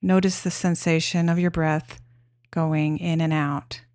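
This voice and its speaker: Female meditation guide: gentle, instructional, very unhurried delivery, slightly low pitch. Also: little pitch movement, no echo, studio quality.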